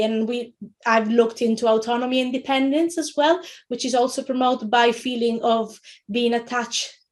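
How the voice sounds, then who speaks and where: Webinar presenter: woman, middle-aged, Italian accent, slightly high voice, informal teaching delivery with frequent rephrasing. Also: darkish tone. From Italy. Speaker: webinar presenter, early years educator. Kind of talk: informal educational webinar talk.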